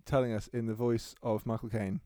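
A man's voice: lowish voice, flat delivery, a little fast, mellow, dry recording. Man, thirties, podcast co-host, chatty storyteller, laughs often, dry close sound. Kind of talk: casual chat.

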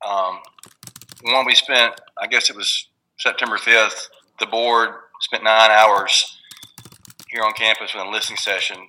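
A male board official explains himself to the press. His voice is fairly low yet very bright and forceful, and he restarts phrases as he goes. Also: flat delivery, a little slow, poor audio. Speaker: higher education board official. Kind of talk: answering press questions.

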